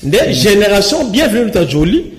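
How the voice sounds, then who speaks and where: A man's voice: high-pitched, sing-song, normal speed, over loud music. A young man with a Congolese accent, speaking French mixed with Lingala as a guest in a TV studio, gesturing and often restarting his sentences. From Kinshasa, Congo. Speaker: studio guest, local resident. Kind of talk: studio interview, animated talk. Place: TV studio with screen backdrop.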